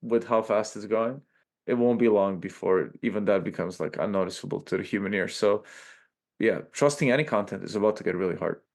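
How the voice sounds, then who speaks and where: A man with a Serbian accent, a podcast guest talking in a loose, animated way and tagging lines with "right?". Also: lowish voice, fairly quick, gravelly. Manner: animated, peppers speech with "like" and "right?". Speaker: podcast guest, tech company chief. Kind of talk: casual interview talk.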